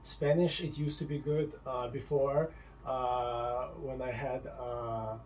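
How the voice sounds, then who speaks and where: A man's voice: medium pitch, little pitch movement, slow, darkish tone, a little hiss or hum. A young man from Russia with a Russian accent, a guest in a casual podcast chat at his home, hesitant and searching for words. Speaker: guest, model.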